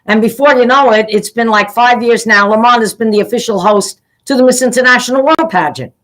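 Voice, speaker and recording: A woman's voice: on the high side, a little fast, a bit bright, rough recording. A middle-aged woman who runs a pageant, hosting an interview in a chatty way and praising her guest effusively. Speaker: pageant CEO, interview host. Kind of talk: casual interview talk.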